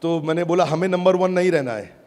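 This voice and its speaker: A man giving an energetic stage keynote to a live audience, emphatic and crowd-rousing, calling out for responses. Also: a little high, a little fast. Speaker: motivational business speaker launching an app. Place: large auditorium with a live audience. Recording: reverberant hall, crowd and noise.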